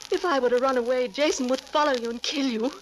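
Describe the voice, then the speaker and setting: A woman acting an anxious young wife in a 1940s radio melodrama. Her voice is high and fearful, pleading in theatrical style, on a faintly crackly vintage recording. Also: fairly even intonation, fast talker, natural voice. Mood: anxious, confiding.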